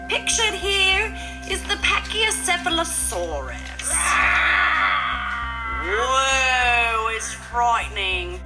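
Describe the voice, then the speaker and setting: A woman presents a playful mock documentary with theatrical exclamations. Her voice is high and very animated, taken at an unhurried pace. Music plays clearly behind her. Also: rough recording. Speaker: presenter of a student educational newsreel.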